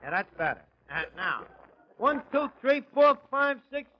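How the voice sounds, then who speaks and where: An actor playing a slangy, wisecracking New York tavern manager in a 1940s radio comedy. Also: male voice, very high-pitched, very animated, a little slow, heavily acted. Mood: bossy. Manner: slangy, ungrammatical, sardonic. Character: wisecracking, malaprop-prone male manager of a tavern. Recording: muffled 1940s radio broadcast.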